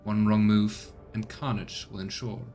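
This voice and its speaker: A man's voice: fairly low pitch, flat delivery, a little slow, dark tone, faint music behind. A man reading scripted narration aloud as the solemn narrator of a grim space game.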